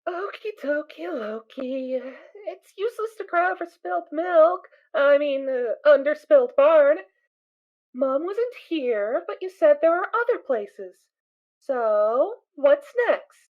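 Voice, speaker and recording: A woman's voice: sits high, expressive, slow. A woman voicing a small unicorn filly searching for her mother, childlike, pleading and bratty. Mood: grumbling. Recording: dull lo-fi amateur recording.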